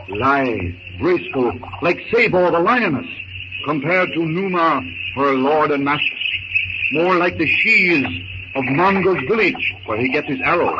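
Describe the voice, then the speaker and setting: A man giving dramatic scripted narration for a 1930s radio serial, theatrical and deliberate. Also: fairly high pitch. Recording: noisy, muffled 1930s radio recording.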